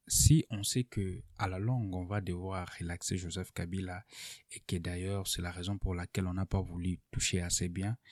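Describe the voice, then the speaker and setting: Male commentator, low voice, soft dark timbre, dry close sound, deliberate explanatory delivery. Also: dry recording. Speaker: political commentator presenting an online video. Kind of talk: unscripted political analysis to camera.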